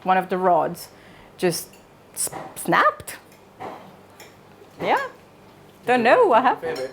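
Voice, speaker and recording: A woman's voice: fairly high pitch, wildly swooping pitch, takes it slowly, slight background noise. A woman from Romania with a Romanian accent, giving an informal talk in a conversational way, with joking asides and questions to her listeners. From Transylvania, Romania. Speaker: speaker at a wellness talk. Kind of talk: informal public talk, personal storytelling.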